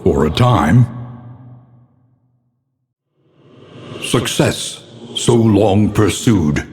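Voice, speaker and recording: An actor playing the grave, brooding narrator of a gothic horror game, speaking in a solemn, portentous, theatrical manner. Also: male voice, lowish voice, expressive, slow, darkish tone. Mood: solemn, encouraging. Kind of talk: scripted dramatic narration. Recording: long cavernous reverb.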